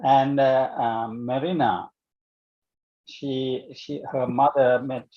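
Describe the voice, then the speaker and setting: Dull online meeting audio carries a man's medium-pitched voice. He gives feedback to a group, stopping and starting and circling back as he goes. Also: fairly even intonation. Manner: halting, wordy, circling back.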